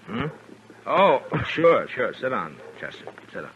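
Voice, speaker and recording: An actor playing a calm, wry American frontier marshal, unhurried and authoritative, in a 1950s radio western. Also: male voice, medium pitch. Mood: easygoing, wry. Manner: unhurried, dry, easygoing. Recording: dull, noisy old radio recording.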